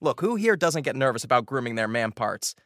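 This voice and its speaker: A man's voice: mid-range voice, very animated, fairly quick, fairly bright tone, no echo, clean studio sound. A man doing a playful, jokey scripted ad read. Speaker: ad reader.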